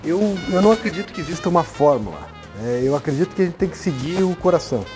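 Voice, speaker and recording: A man answering interview questions in a measured, hesitant way. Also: on the high side, lively intonation. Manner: measured, hesitant with many fillers. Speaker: guest. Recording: faint music under the voice.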